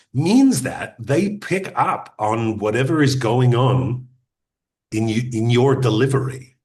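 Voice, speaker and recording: Man, mid-pitched, workshop presenter; deliberate, pause-heavy, conversational teaching delivery. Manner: deliberate, uses pauses and restarts; addresses audience directly with practical advice. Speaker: podcasting workshop speaker.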